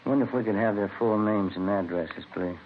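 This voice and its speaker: An actor playing a polite, low-key police detective in a 1950s radio drama. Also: male voice, fairly quick, natural voice. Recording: tinny, noisy vintage radio recording.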